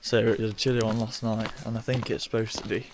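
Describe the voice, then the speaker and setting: A man's voice: fairly low pitch, fast talker. A young man with a cold and a nasal voice, chatting casually to camera in an offhand way. He records in his car and then outdoors in frozen woods. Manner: relaxed, offhand, says "bloody" a lot. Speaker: vlogger. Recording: noisy background.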